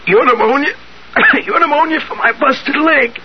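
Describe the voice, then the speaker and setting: A man's voice: very high voice, measured pace, noisy background. An actor playing a surly, cocky young American working man in a 1970s radio drama, curt and slangy. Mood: excited, insistent. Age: young adult. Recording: muffled 1970s radio recording.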